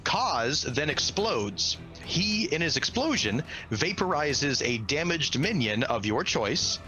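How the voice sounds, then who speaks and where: A man's voice: on the high side, very animated, slow, pushed and bright, low-quality recording. A man working as game master, narrating a tabletop game with an enthusiastic, slangy and dramatic delivery. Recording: music behind the voice. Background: background music.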